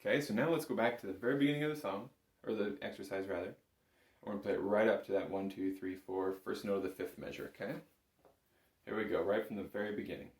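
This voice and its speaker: A man's voice: fairly low pitch, fast talker, echoey room. A man giving an informal step-by-step music lesson as an instructor, calling out notes and counts. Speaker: bagpipe instructor.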